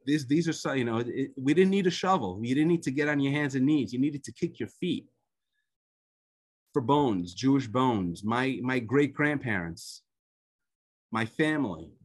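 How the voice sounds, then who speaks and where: A man whose first language is Hebrew tells a personal story as an invited speaker. His speech is halting, full of fillers and restarts. It comes through a video-call connection. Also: medium pitch, expressive, slightly rough. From United States. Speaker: author and guest speaker.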